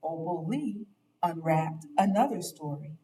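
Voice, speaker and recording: A woman reading a children's picture book aloud with deliberate, dramatic phrasing as a storyteller. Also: lowish voice, expressive, warm tone, far from the mic, slight background noise, poor audio.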